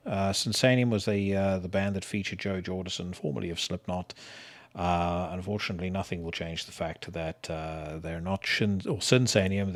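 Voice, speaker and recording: A middle-aged South African man hosts a podcast on his own, talking casually and loosely. His voice sits very low, and he swears freely, filling his talk with fillers and restarts. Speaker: podcast host. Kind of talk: casual solo podcast chat.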